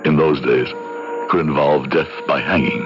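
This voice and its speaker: Earnest, guilt-ridden doctor for radio drama. Very low, ruminative, dramatic; dull vintage recording over music. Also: male voice, moderate pace. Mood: rueful. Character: earnest, troubled young male doctor recalling his guilt. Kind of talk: old-time radio drama narration and dialogue.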